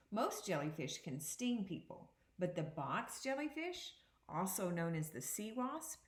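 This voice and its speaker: A woman teacher giving an interactive read-aloud for young children, reading deliberately and pausing to put questions to her listeners. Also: medium pitch, roomy sound. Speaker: second grade teacher.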